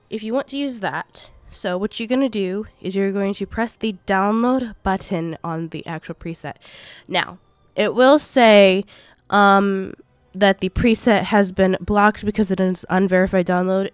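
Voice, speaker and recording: A woman in her twenties with a congested cold voice, walking viewers through a software tutorial in a chatty, rambling way. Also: mid-range voice, smooth, no echo. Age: 20s. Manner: chatty, rambling, self-correcting. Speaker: gaming YouTuber. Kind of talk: casual screen-recorded tutorial.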